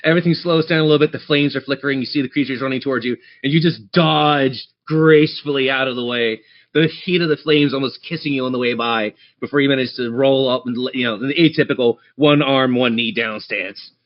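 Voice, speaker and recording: A man's voice: mid-range voice, brisk. Man game mastering, slightly muffled audio. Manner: descriptive, addresses players directly. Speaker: game master of a tabletop campaign. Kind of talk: improvised tabletop game narration.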